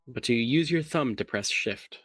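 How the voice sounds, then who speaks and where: A man playing along on a livestream, giving brief, low-key replies. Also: expressive, normal speed, fairly bright tone. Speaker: co-player. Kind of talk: casual livestream chat.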